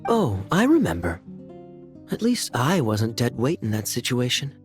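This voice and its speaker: A man's voice: medium pitch, huge pitch swings, a little slow, faint music behind. A man playing a breezy, teasing, easygoing young knight in a video game, with a playful, lilting delivery.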